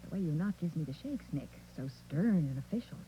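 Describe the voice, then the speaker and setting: An actress playing a loyal, wry detective's secretary, conversational and lightly teasing, in 1940s radio drama style. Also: female voice, low voice, animated, fairly quick. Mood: inquisitive. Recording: dull, very noisy vintage radio recording.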